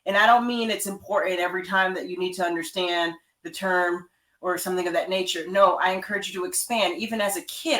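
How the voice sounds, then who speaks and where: Animated woman guest in an echoey room. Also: medium pitch. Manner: animated, emphatic. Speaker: guest, author and life coach. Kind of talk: interview.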